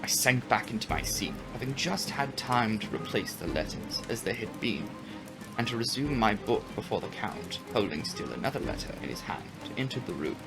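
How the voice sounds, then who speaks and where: A man's voice: on the low side, sing-song, normal speed, creaky, rough recording. A man narrating a classic novel as a dramatic audiobook, in a literary storytelling style. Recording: loud music behind narration. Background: music.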